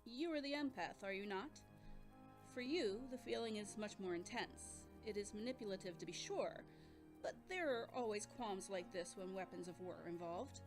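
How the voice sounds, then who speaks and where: A woman's voice: medium pitch, animated, normal speed, music in the background, lightly acted. A woman playing a composed military scientist in an audio drama, formal and matter-of-fact in manner. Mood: firm, unapologetic.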